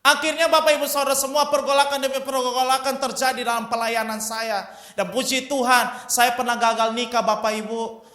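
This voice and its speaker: A man's voice: extremely high pitch, level tone, a little fast, pushed and bright. A man in his twenties preaching a sermon with personal testimony, emphatic and often addressing the congregation. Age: 20s. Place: church hall. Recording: echoey church hall.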